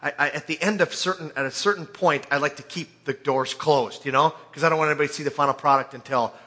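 A man preaching in an informal, conversational way, telling scripture like an animated storyteller with joking asides. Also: a little high, fast. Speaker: preacher or Bible teacher.

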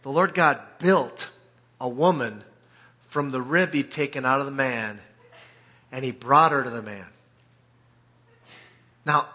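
Guy preaching casually, kinda high bright voice, animated storyteller, jokey asides. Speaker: preacher or Bible teacher. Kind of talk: informal conversational sermon with scripture readings.

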